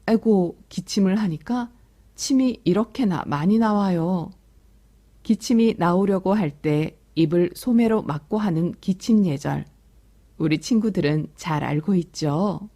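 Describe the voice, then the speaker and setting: A woman's voice: on the low side, a little slow, dark tone. A woman storytelling teacher reading a picture book to young children, soothing and chatting to them along the way. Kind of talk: picture book read-aloud with commentary to children.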